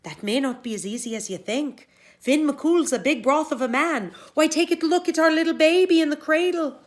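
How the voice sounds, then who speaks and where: A woman voicing a gentle, sly, composed storybook wife with a sweet, coaxing manner. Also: sits high, normal speed, voice pushed up, natural voice. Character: gentle, sly, reassuring Irish giant's wife.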